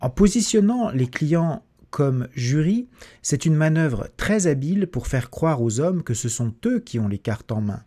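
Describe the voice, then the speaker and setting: A man narrating a documentary in a measured, analytical scripted voice-over. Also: medium pitch, mellow.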